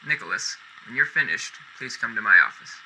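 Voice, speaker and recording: Man playing a forceful, stern company boss. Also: lowish voice, big pitch swings, brisk, a little hiss or hum, full character voice, poor audio. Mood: commanding. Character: stern, officious company boss, son of the founder. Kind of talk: radio drama acting.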